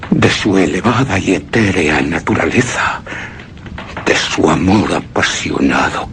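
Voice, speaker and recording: Haunted widower narrator, low voice, solemn gothic radio drama delivery, faint noise behind. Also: male voice, a bit bright, full character voice. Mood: feverish longing. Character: brooding, obsessive widower narrating his haunted past.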